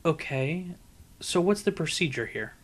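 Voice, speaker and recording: A man's voice: on the high side, lively intonation, a little slow, fairly bright tone. Man voicing a modest, studious young unicorn mare. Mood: earnest, thoughtful. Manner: polite, hesitant, earnest. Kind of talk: fan fiction audiobook character voice.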